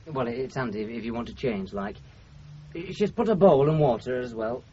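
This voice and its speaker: An actor playing a bookish, devoted young bridegroom with a Northern English accent in a radio drama, hesitant, stammering and apologetic. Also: male voice, medium pitch, very animated, fairly quick, dark tone, breathy edge. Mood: awkward, eager. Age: young adult. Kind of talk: 1970s radio drama acting. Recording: muffled 1970s radio broadcast, music behind.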